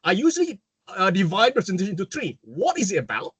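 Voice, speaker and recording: Lively Malaysian-British accented man presenting. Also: high voice, fast talker, slightly rough, no echo. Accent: Malaysian-British mix. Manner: animated, enthusiastic, self-interrupting. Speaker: guest speaker. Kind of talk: webinar presentation. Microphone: video call.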